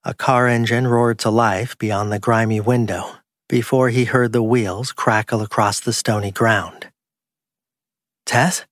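A man's voice: lowish voice, fairly bright tone, no echo. Dry-sounding man narrating crime fiction. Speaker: audiobook narrator.

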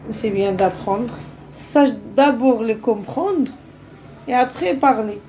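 Hesitant woman, slow speech. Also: fairly high pitch, lively intonation, warm tone, soft background music, low-quality recording. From Algeria. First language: Arabic. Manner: hesitant, searching for words. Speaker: parent of a pupil. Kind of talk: recorded interview answers.

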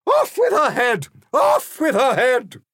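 A man's voice: sits high, huge pitch swings, a little slow, no echo, studio quality, voice pushed up, exaggerated voice. A man voicing an imperious, cruel queen of a card kingdom who speaks grandly and screams in bursts. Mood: screaming.

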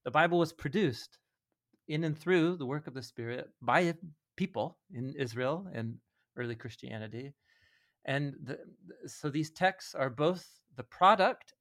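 A man's voice: mid-range voice, lively intonation, unhurried, a bit bright. Thoughtful man explaining in conversation. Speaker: podcast guest, Bible teacher.

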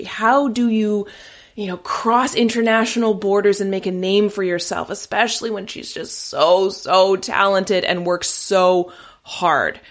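A woman hosting a podcast from her home studio, effusive and chatty as she introduces her guest. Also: medium pitch. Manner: bubbly, effusive. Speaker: podcast host. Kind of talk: casual podcast interview.

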